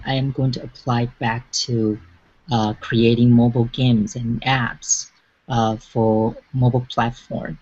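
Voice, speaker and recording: A man hosting an online panel, speaking casually with many pauses and fillers. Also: medium pitch. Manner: slow, hesitant, with fillers. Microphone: video call. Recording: dull lo-fi video call audio.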